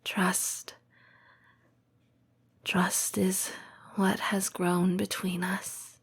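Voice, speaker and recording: A woman voicing a tender, patient lamia girlfriend in an intimate comfort roleplay, breathy and whispering, with measured, formal phrasing. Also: on the low side, little pitch movement, heavily acted. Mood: tender, reassuring. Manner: slow, measured, formal phrasing with deliberate pauses. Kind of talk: intimate comfort roleplay audio, scripted monologue.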